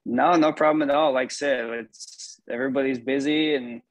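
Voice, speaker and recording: Middle-aged man, guest on a call, reflective and measured, even monotone voice, dry close sound. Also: mid-range voice, no room sound. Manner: measured, reflective, many "you know" fillers. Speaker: sports performance executive, guest. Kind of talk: casual interview over a call.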